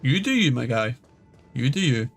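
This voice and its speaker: A man's voice: mid-range voice, sing-song, moderate pace, vocal fry, fairly bright tone. A man streaming a video game with casual, offhand commentary. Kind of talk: casual livestream gameplay commentary. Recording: faint background music.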